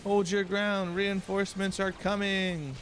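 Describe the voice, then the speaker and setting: A man's voice: sits high, level tone, measured pace, fairly bright tone, a little hiss or hum, pitched above the performer's own voice. A man voicing a ruthless imperial commander from a fantasy game, giving clipped orders. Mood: commanding.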